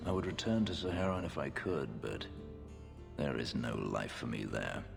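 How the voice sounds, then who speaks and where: A man voicing a guarded, brooding elf fugitive in a video game, gravelly, measured and dry-witted. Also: rumbling low, sing-song, heavily acted. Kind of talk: dramatic video game acting. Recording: long reverb, music underneath. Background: music.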